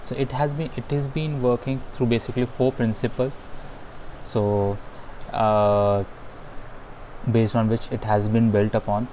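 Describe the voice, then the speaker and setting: A man giving a live online programming lesson over a video call. He talks in a halting, hesitant way, full of fillers and restarts. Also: medium pitch, level tone, mellow, a little hiss or hum, low-quality recording. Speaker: instructor. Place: home in a rainy town.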